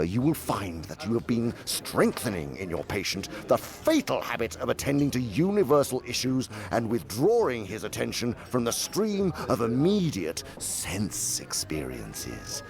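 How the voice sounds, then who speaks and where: An actor playing a sly, condescending older demon uncle who lectures pompously and theatrically in a radio drama. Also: male voice, low voice, vocal fry, heavily acted.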